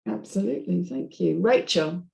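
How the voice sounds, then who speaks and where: A woman's voice: on the low side, lively intonation, moderate pace, gravelly, mellow. A woman moderating a casual feedback discussion, speaking informally and calling on others.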